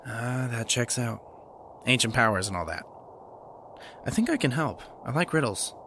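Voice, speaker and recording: An actor playing an earnest, bookish, kind young man in an audio drama, with a gentle, lightly teasing manner. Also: medium pitch, expressive, moderate pace, noisy background, natural voice. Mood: thoughtful, teasing. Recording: music bed under the voice.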